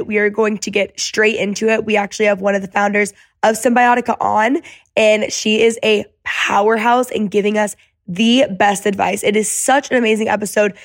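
A woman's voice: medium pitch, no echo, clean studio sound. A woman in her twenties hosting a podcast in a casual, chatty, gushing and enthusiastic way. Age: 20s. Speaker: podcast host. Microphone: close podcast microphone.

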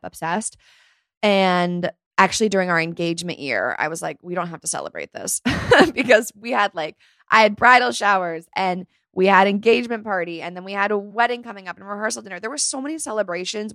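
A woman's voice: fairly low pitch, a bit bright, no room sound. Chatty young woman podcast host, dry sound. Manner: chatty, bubbly, lots of "like". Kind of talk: casual solo podcast chat.

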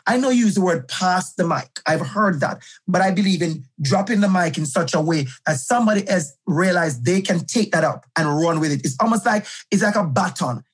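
A man's voice: sits high, breathy edge. A middle-aged man, a guest education professor, talking passionately in a podcast interview and repeating his key phrases for emphasis.

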